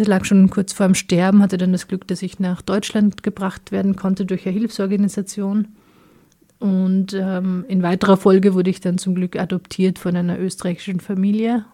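A woman from Afghanistan, a radio interview guest answering earnestly in a measured way, often pausing to find her words. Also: medium pitch, flat delivery, mellow.

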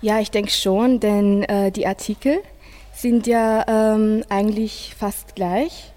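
A teenage girl, a school student, presenting conversationally with classmates and speaking in a halting way. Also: medium pitch, fairly even intonation, mellow. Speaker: school student in a radio project.

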